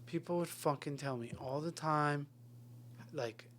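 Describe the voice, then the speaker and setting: A thirty-year-old man with a fairly high voice chats on a podcast. He rambles wryly, swears freely and laughs often. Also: measured pace, fairly bright tone, a little hiss or hum. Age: 30s. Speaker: podcast co-host. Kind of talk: casual chat.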